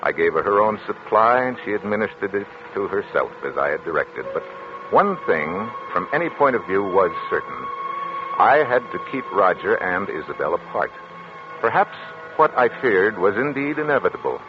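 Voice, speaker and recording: An actor playing a grave, protective physician husband with formal, measured delivery in 1940s radio style. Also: male voice, fairly low pitch, very animated. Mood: measured, foreboding. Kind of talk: 1940s radio acting, dramatic narration. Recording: muffled, hissy 1940s radio recording.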